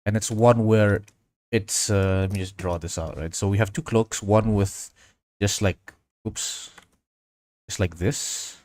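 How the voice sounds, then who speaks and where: A man from Indonesia with a fairly low voice hosts a relaxed, informal livestream. He thinks aloud through his work and answers chat as he goes. The sound is close and dry. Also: unhurried, no echo. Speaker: livestream host. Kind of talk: casual livestream tutorial chat while working.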